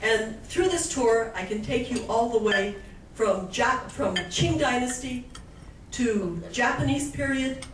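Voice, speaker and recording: A woman's voice: a little high, lively intonation, slow pace. A woman giving an informal, explanatory public lecture, often checking in with her listeners. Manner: explanatory, checks in with frequent "okay?" and "right?". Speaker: guest lecturer, anthropologist. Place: indoor meeting room with audience. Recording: echoey room, distant mic, background noise.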